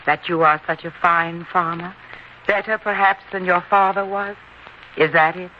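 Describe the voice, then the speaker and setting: A woman acting a patient, worried widowed mother on a farm in an old-time radio drama, reasoning gently and in measured phrases. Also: fairly low pitch, fairly even intonation, natural voice. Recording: dull, lo-fi radio recording with hiss.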